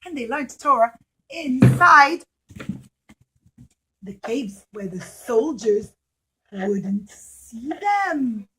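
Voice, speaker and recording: A woman's voice: on the high side, very animated, extremely slow, pauses often, bright, forceful tone, slight background noise, poor audio. A woman telling a story to young children in simple, repeated phrases, often putting questions to her listeners.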